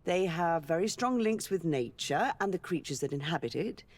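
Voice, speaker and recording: A cultured noblewoman governor in a fantasy game. Her voice sits fairly low, and she speaks formally and with care, learned and diplomatic. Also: animated, darkish tone. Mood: fascinated, respectful. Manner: measured, formal, articulate. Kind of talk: scripted video game dialogue.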